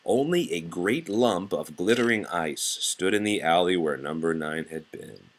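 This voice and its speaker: A man reading a children's storybook aloud with expressive narration. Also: sits low, a little slow, slight background noise. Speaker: storybook reader.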